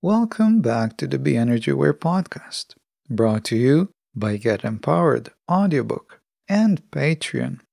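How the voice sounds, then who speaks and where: A podcast host giving a scripted solo talk in a measured, instructive manner. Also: medium pitch, gravelly, darkish tone, no room sound, studio quality.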